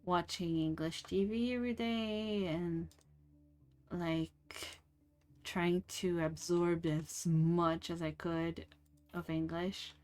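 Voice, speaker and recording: A woman's voice: on the low side, steady tone, darkish tone, clean tone. A young woman whose first language is French, chatting casually and rambling with fillers while she streams a game. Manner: slow, rambling, many fillers and restarts.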